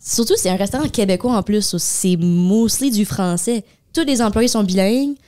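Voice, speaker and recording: A woman's voice: mid-range voice, normal speed, mellow. A young woman with an Acadian French accent, chatting casually on a podcast and mixing English words into her French. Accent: Acadian French, New Brunswick. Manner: mixes English words into French, many fillers. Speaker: podcast co-host. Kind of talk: casual chat.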